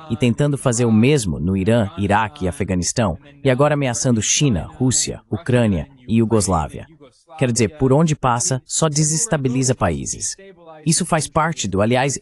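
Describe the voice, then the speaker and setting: Male analyst, lowish voice, argumentative and emphatic, delivering dubbed political commentary. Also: a little mellow. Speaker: guest geopolitical analyst.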